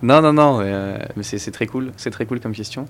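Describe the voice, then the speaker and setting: A man's voice: mid-range voice, fairly quick, slight background noise. A young man being interviewed about his music, talking casually in slang with many fillers and restarts. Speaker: musician guest.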